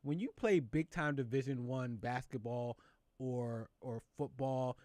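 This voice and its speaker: A male host talking through the week's news in a casual studio chat. His voice sits at an ordinary middle pitch, recorded close and dry. He gets animated and stumbles on his words when something excites him. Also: unhurried, dry recording. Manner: animated, laughs often, stammers when excited. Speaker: show host.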